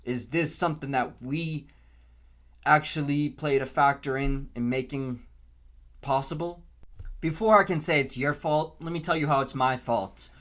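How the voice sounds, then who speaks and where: Slow, deliberate young American man. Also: fairly high pitch, fairly bright tone. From Canton, Massachusetts, US. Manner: earnest, deliberate, repeats phrases for emphasis. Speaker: podcast host. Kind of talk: unscripted opinion monologue.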